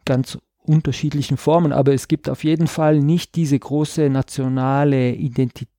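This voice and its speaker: Gravelly-voiced South Tyrolean man musing. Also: medium pitch, steady tone, normal speed, mellow, no echo, clean studio sound. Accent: South Tyrolean German. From South Tyrol, Italy. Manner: searching, full of fillers and restarts, thoughtful. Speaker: artist, podcast guest. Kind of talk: reflective conversational interview.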